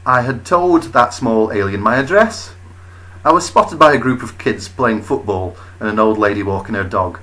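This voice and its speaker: A man narrating a comic first-person story as a storyteller, expressive, with wry, deadpan understatement. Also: fairly low pitch, rough recording.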